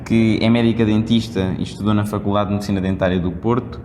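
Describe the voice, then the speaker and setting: A podcast host running a casual interview in a quick, playful way. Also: sits low, fairly even intonation, darkish tone. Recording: long reverb, faint noise.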